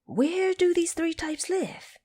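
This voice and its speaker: A woman's voice: extremely high pitch, slow pace, mellow, pitched above the performer's own voice. A woman voicing a proud male monkey ruler in a storybook audio drama, earnest and regal in delivery. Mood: curious.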